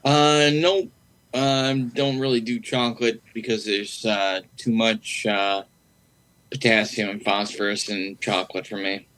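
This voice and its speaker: A man's voice: on the low side, fairly even intonation, unhurried, fairly bright tone. A man chatting casually, answering briefly and plainly. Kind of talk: casual chat.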